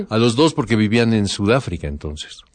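A man interpreting as a radio interviewer, improvising as he goes, halting and correcting himself. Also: fairly low pitch, sing-song, no echo. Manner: halting, self-correcting delivery.

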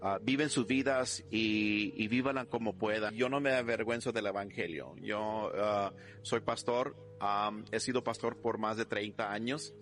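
A man who is a pastor, speaking in a steady, unhurried and firm way. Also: fairly low pitch.

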